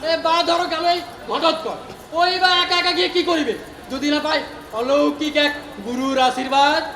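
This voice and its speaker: A man's voice: extremely high pitch, normal speed, sharp tone, full character voice. A man playing a cynical, mocking ghost of a bearded holy man in a stage comedy, with sardonic repetitions and mock-archaic diction. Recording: echoey noisy stage recording.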